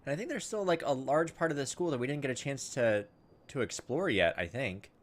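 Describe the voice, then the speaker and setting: A man's voice: mid-range voice, animated, a little fast. A man doing gameplay commentary, casually thinking aloud and reading visual novel text as he goes. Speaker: video game playthrough host.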